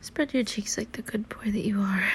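A woman speaking in a teasing, commanding tone, mostly in a breathy whisper, for roleplay audio. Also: mid-range voice, a little hiss or hum.

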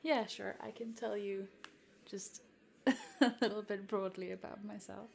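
A South African woman in her twenties whose first language is Afrikaans. She chats to a follower in a giggly, hesitant, soft-spoken roleplay and sometimes drops to a whisper. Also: mid-range voice, fairly even intonation, a little slow, gravelly, a little hiss or hum. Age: 20s. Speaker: content creator addressing a follower. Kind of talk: roleplay chat to the listener.

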